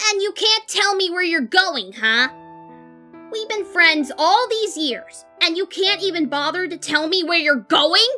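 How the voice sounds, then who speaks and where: A woman playing a brash, hot-tempered young female pegasus pony in a cartoon audio drama, blunt and rough-edged. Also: extremely high pitch, expressive, unhurried, pushed and bright, soft background music, heavily acted. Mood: angry, incredulous. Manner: blunt, confrontational, emotional.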